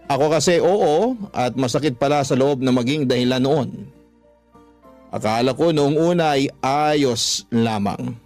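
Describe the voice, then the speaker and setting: A man's voice: medium pitch, measured pace, darkish tone. A man reading listeners' letters as a dramatic first-person story, as a radio host. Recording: music under the voice.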